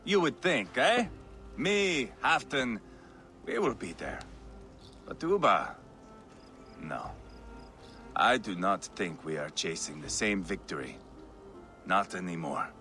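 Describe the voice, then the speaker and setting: An actor playing a brash, bloodthirsty, mocking Viking warrior with a theatrical, boastful manner in a video game cutscene. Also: male voice, fairly low pitch, big pitch swings, slow pace, long pauses, bright, forceful tone, soft background music, heavily acted. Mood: grandiose, brooding. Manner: theatrical, boastful, swinging between teasing and menace.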